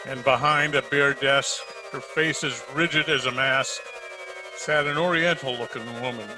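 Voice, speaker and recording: A man's voice: mid-range voice, steady tone, normal speed, over music, lightly acted. A man playing a dry, wisecracking private detective in an old-time radio drama, narrating his case in hardboiled style. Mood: grave, suspenseful. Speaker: amateur radio drama player.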